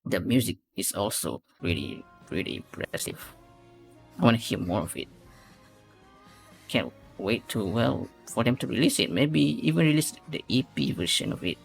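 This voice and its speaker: A man's voice: medium pitch, gravelly. A man hosting a reaction video, giving casual commentary and analysis as he thinks aloud and repeats phrases. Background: faint music.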